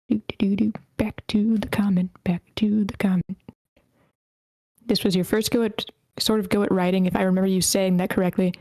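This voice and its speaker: A woman's voice: mid-range voice, darkish tone, no echo. Dry-sounding woman hosting an online meeting. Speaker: host of an online table read. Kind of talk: reading written feedback aloud and moderating.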